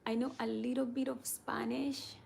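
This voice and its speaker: A woman's voice: on the high side, flat delivery, normal speed, vocal fry, a little mellow, slight background noise. A woman hosting a casual livestream, chatting and reading viewer comments aloud. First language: Kamba.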